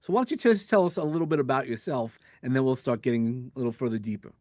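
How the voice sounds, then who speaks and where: A man's voice: medium pitch, fast talker, darkish tone, dry recording, studio quality. A man hosting an interview podcast, talking in a rambling way with many restarts. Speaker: podcast host. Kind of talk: conversational interview.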